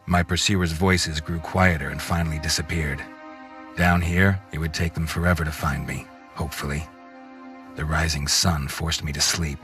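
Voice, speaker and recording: An actor playing a grim half-vampire antihero who narrates a video game in a terse, deadpan, noir-like way. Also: male voice, rumbling low, moderate pace, faint music behind. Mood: brooding.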